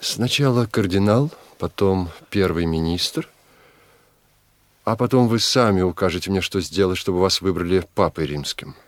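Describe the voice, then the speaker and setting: An actor playing a freed captive prince in a radio play, resolute and calculating, speaking formally and deliberately. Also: male voice, low voice, no room sound, lightly acted. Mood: calculating, regal. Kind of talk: Russian radio play acting.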